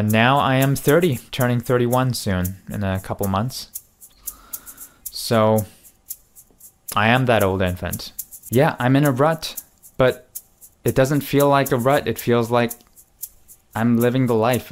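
A man in his thirties talking frankly and self-mockingly to camera in a small room at night, into a mic on a boom. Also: fairly even intonation, slow pace, long pauses, clear, resonant tone. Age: 30s. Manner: frank, self-deprecating, conversational. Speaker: vlogger. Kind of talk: candid confessional monologue. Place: dim small bedroom at night. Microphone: studio mic on boom in front.

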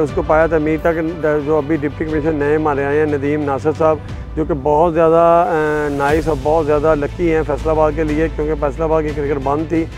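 A middle-aged Pakistani groundsman with a slightly high voice, sitting out on the field for a sports documentary interview, music playing underneath. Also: darkish tone. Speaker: stadium caretaker being interviewed. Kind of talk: informal interview to camera. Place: outdoors on a cricket stadium field. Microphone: lapel mic. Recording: music behind the voice.

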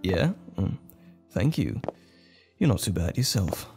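A man playing a gentle, bashful romantic date in an ASMR roleplay, speaking tenderly and a little hesitantly. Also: deep voice, big pitch swings, slow pace, vocal fry, heavily acted. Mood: tender, flirty. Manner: tender, hesitant, lightly chuckling flirtation. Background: music.

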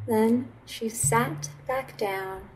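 A young woman reads a picture book aloud in a fairly high voice. Her delivery is gentle and unhurried, with careful storybook phrasing. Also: a little hiss or hum, poor audio. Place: small indoor room with fabric backdrop.

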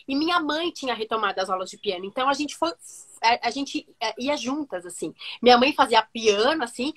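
Animated high-voiced Brazilian woman on a call. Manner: animated, gestures a lot, many fillers. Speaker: music teacher guest. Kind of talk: casual storytelling interview. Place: home room with bookshelves. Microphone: video call.

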